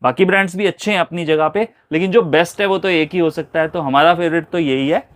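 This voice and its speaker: Man hosting, slightly higher voice. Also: fast, dry recording. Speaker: video show host interviewing a dealer. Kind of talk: conversational product review presenting.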